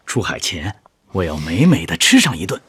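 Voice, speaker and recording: An actor playing a weary, dutiful young fisherman husband in a radio drama, husky and sighing as he reassures. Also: low voice, big pitch swings, normal speed, a bit bright, dry recording, exaggerated voice. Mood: coaxing. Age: 20s. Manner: soothing, sighing, earnest.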